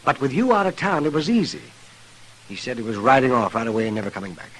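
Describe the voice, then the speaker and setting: An actor playing a grave, frank older American town doctor with a husky voice in a western radio drama. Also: male voice, mid-range voice, expressive, fast, a bit bright, slight background noise, close to an everyday voice, poor audio. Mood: grave, reluctant.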